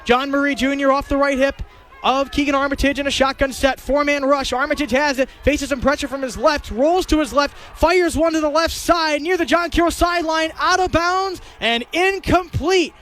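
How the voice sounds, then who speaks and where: A young man with a very high-pitched voice calls a football game live on the radio. He describes each play in clipped, detailed phrases, in the style of a play-by-play announcer. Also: fairly even intonation, moderate pace, a bit bright. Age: young adult. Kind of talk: live play-by-play sports commentary.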